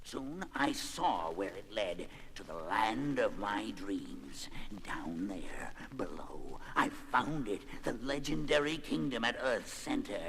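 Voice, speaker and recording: An actor playing a grandiose, vengeful, half-blind underground villain in a theatrical, pompous comic-book audio drama style. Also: male voice, on the low side, lively intonation, vocal fry, background noise, exaggerated voice. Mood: brooding, awed. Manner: theatrical, pompous, archly menacing, drawn-out delivery. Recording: loud music under the voice.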